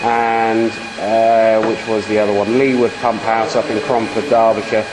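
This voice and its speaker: A man's voice: fairly low pitch, level tone, moderate pace. A man chatting as an amateur radio operator in a hesitant, rambling way, giving call signs. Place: large indoor beam engine room. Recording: clearly noisy room with machinery hum. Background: steam engines, machinery noise.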